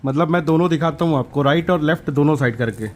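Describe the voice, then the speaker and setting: A man with a fairly high-pitched voice narrates a hands-on DIY tutorial. He talks casually and colloquially, restating points for emphasis as a channel host would. Some room noise comes through in parts of the recording. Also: quick pace. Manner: informal, colloquial, repeats himself for emphasis.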